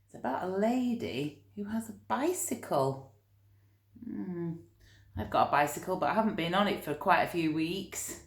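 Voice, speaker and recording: A woman reading a picture book aloud to young children, expressive, with chatty asides and gentle explanations. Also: lowish voice, moderate pace. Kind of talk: animated read-aloud storytelling with chatty asides. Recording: roomy, echoey room sound.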